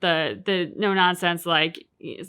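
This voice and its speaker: Bright-voiced woman chatting casually. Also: fairly low pitch, takes it slowly, clean tone. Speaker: reaction video host. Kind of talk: casual game reaction chat.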